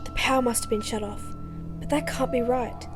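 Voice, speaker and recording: A woman's voice: on the high side, a little fast, over music, lightly acted. A woman playing an earnest, anxious young researcher in an amateur sci-fi audio drama. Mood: earnest, uneasy.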